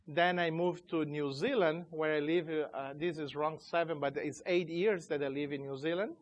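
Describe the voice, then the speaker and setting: A man's voice: on the high side, steady tone. Chatty Chilean-born man. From Chile, raised in Brazil. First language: Portuguese. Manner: informal, halting, loose grammar. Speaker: conference speaker, company CEO. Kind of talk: tech conference talk. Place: conference room.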